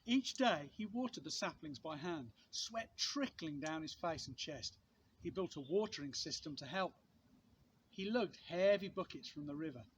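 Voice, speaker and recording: A man reading a children's picture book aloud in an expressive way, with measured, dramatic phrasing and pauses for effect. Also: on the high side, a little hiss or hum, rough recording. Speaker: storybook reader, outdoor teacher.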